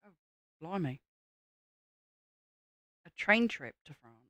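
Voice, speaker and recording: A woman's voice: lowish voice, expressive, sharp tone, dry recording. A British woman co-hosting a live stream, teasing and mocking her co-host in casual banter. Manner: teasing, mocking, quick to laugh. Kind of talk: casual banter while building.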